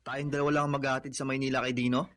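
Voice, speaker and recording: A man's voice: mid-range voice, little pitch movement, very fast, fairly bright tone, lightly acted. A man with a Filipino accent playing a loyal, supportive young friend in a radio drama, sympathetic and plainspoken. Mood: concerned.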